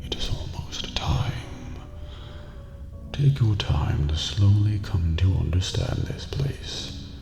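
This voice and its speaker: A man acts out an old, otherworldly prison master with courteous, ominous formality. His low, gravelly voice slips toward a whisper in this ASMR roleplay, with long hall reverb around it and music behind. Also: animated, darkish tone, heavily acted. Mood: courteous, mysterious. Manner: formal, deliberate, ominous, often near whispering.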